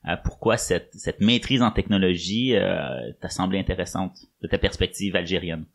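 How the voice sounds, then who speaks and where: A man's voice: sits low, fairly even intonation, normal speed. A man hosting a podcast in a conversational interviewing style. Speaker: podcast host.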